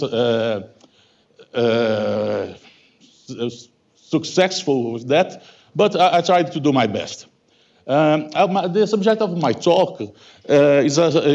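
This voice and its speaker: A man in his fifties from Brazil with a Brazilian Portuguese accent, Portuguese as his first language, giving a halting academic talk full of self-corrections and "okay?". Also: mid-range voice, a little mellow, highs cut off. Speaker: guest lecturer. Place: lecture hall.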